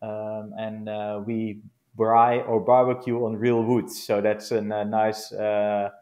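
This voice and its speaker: A man with a Dutch accent, a glamping business founder answering interview questions in a casual, hesitant way, often pausing to find words. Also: lowish voice, level tone, darkish tone. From Netherlands. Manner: hesitant, searching for words with many pauses.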